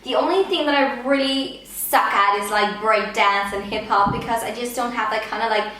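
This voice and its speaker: A young woman in her early twenties chats through a viewer Q&A, her voice sitting a little high and filling gaps with "um" and "like". The room is strongly echoey, so every word rings off the walls. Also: moderate pace, pushed and bright. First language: Danish. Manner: chatty, many "um" and "like" fillers. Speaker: vlogger. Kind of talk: casual Q&A chat.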